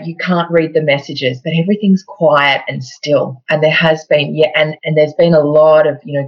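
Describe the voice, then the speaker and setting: A woman's voice: deep voice, husky. A woman with an Australian accent, talking casually and reflectively as co-host of an astrology show. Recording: dull, lo-fi microphone sound.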